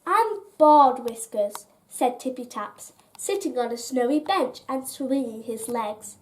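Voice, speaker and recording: A woman reading a children's bedtime story aloud in an expressive, deliberate way, as a storyteller. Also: on the high side.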